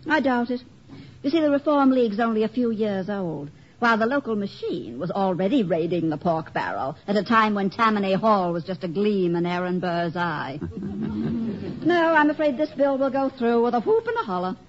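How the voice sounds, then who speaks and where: An actress playing a poised political science professor in a 1950s radio comedy, breezy, articulate and wryly humorous. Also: female voice, mid-range voice, big pitch swings, moderate pace. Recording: muffled old 1950s radio recording.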